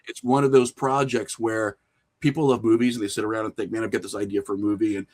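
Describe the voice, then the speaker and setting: A middle-aged American man telling stories casually as an interview guest, animated and often acting out imagined lines of dialogue. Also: fairly low pitch, quick pace. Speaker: podcast guest, independent filmmaker.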